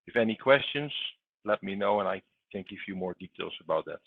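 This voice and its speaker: A man's voice: low-pitched, lively intonation, brisk, creaky, slightly rough, no room sound. A man giving a technical explanation to customers as a webinar co-presenter, heard over an online call. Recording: tinny phone-like line.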